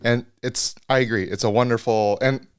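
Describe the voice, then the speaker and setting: A man's voice: medium pitch, fairly even intonation, moderate pace, smooth, no room sound, clean studio sound. A man co-hosting a podcast in a casual, conversational style. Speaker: podcast co-host, doctor. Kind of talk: casual chat.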